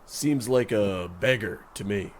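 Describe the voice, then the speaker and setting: A man playing a reluctant, matter-of-fact king's page in a comedy radio play, with a deadpan manner. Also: lowish voice, measured pace, slight background noise, lightly acted.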